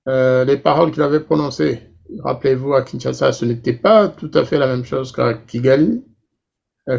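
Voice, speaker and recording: A man's voice: mid-range voice, creaky, a little mellow, highs cut off. A man hosting a political interview, asking long probing questions with many hesitations. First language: not English. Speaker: interviewer, talk show host.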